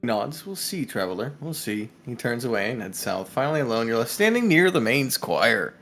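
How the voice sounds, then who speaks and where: A man's voice: medium pitch, sing-song, normal speed, fairly bright tone, soft background music. A man reading visual novel narration and dialogue aloud on a live stream, with casual asides.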